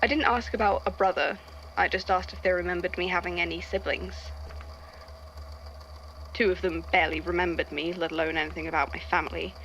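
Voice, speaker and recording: A woman playing a testy male archivist who reads eerie witness statements aloud in an audio drama, in a measured storytelling delivery. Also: on the low side, fairly even intonation, fairly bright tone, muffled recording, slight background noise. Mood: reflective, uneasy.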